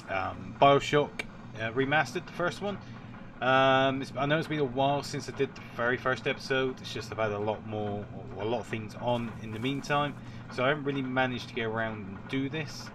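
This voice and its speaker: A man hosts a casual gaming video, sounding congested from a cold. His medium-pitched commentary rambles and hesitates, with the odd swear word. Game ambience sits noisily behind him. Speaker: gaming channel host. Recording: noisy track with game ambience.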